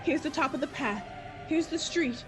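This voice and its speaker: A woman's voice: high-pitched, normal speed, music in the background, close to an everyday voice. A woman playing a terrified young woman fleeing home alone at night, talking to herself in breathless, repeated bursts in the style of a 1940s radio play. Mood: panicked, pleading. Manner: breathless, frantic self-talk in short repeated bursts.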